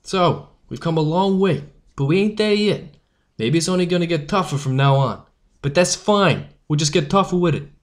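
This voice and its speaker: Woman voicing a tough, animated street-kid strike leader. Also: lowish voice, normal speed, lightly acted. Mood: rousing. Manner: slangy, emphatic, rallying. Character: tough, streetwise young newsboy strike leader, fiery and protective.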